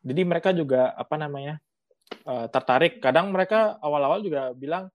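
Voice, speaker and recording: A woman's voice: low voice, a little fast, dry recording, lo-fi sound. A young woman from Bali, a student guest on a podcast, chatting casually with lots of fillers and laughter. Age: young adult. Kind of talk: casual chat.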